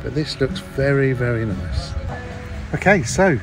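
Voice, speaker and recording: A man's voice: mid-range voice, big pitch swings, unhurried, low-quality recording. An older man chatting casually as he films a travel vlog at an outdoor beach restaurant. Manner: chatty, filming as he walks and eats. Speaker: vlog host. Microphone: handheld phone camera. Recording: outdoor phone audio with background music.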